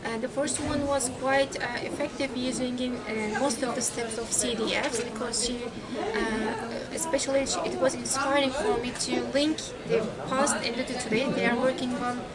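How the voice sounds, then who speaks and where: A woman from Turkey, an English teacher answering interview questions haltingly. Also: high-pitched, fairly even intonation. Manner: halting, searching for words. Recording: very loud crowd chatter behind.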